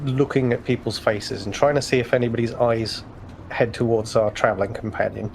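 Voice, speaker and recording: Man, middle pitch, tabletop player, casual chat, hesitant, polite, light background noise. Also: steady tone, a little fast, gravelly, darkish tone, rough recording.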